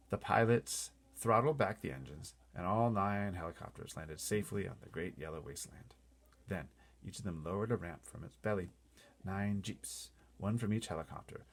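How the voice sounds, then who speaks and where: A man's voice: low-pitched, normal speed. A man narrating a storybook in a dramatic, theatrical and suspenseful readaloud style. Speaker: storybook reader.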